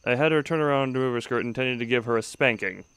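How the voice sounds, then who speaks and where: A man's voice: mid-range voice, fast talker, fairly bright tone, clear, resonant tone, no echo. A man streaming a story game, reading the text aloud and adding wry asides, often breaking off mid-sentence and restarting, close to the mic. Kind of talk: let's-play commentary and reading aloud.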